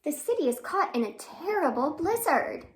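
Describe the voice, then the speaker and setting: A woman's voice: sits high, big pitch swings, normal speed, slight background noise. A woman hosting a children's storytime, reading expressively with asides and questions to young listeners. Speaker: library storytime host.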